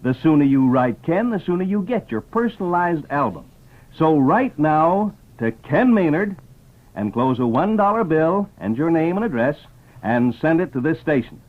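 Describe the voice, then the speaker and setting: A man announcing and pitching to children in 1950s radio style, with an emphatic, enthusiastic salesman delivery. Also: big pitch swings, moderate pace. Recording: muffled old radio transcription.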